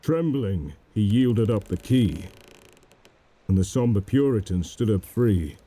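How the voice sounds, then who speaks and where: A man narrating a dramatic poem in a solemn, measured manner. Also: lowish voice, expressive, warm tone. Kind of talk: dramatic poetry recitation.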